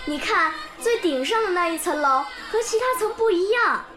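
An actress voicing a bright, curious young village girl who tells stories eagerly, in a radio drama. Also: very high voice, moderate pace. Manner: lively, eager storytelling. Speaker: pupil. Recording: background music under the scene.

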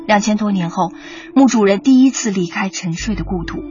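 A woman's voice: mid-range voice, a little fast, dark tone. A woman narrating a radio documentary in a dramatic, scripted style. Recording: slightly muffled, music underneath.

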